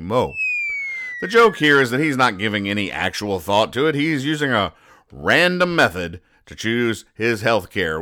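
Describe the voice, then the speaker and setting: Deadpan male podcast host, fairly low pitch, crisp delivery, explains things very literally. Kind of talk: reading and explaining comic strips.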